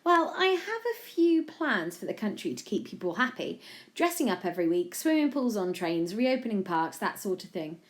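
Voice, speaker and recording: A breezy young male prime minister read by a woman, confident and earnestly silly. The storybook delivery is lively, with plenty of rise and fall. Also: mid-range voice, normal speed, voice pushed up, natural voice.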